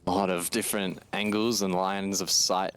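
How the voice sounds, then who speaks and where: A man's voice: deep voice, little pitch movement, moderate pace. A man giving live, analytical commentary as an esports caster. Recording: clear game gunfire noise behind voice. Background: video game gunfire and clicks.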